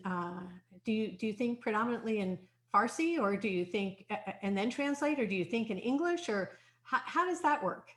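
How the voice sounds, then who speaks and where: Woman host asking authors stuff, a bit high voiced, rambly. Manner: curious, chatty, rambling questions. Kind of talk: interviewing authors.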